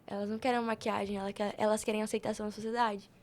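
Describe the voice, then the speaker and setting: A woman's voice: medium pitch, little pitch movement, racing, a little mellow. A young Brazilian woman telling personal stories casually as a podcast guest, slangy and often retelling conversations as dialogue. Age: young adult.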